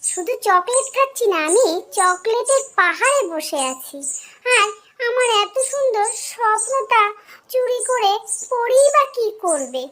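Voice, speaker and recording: Woman voicing a very high-pitched childlike cartoon boy. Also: expressive, measured pace, pushed and bright, heavily acted. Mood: upset, pleading. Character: whiny young boy who loves chocolate dreams.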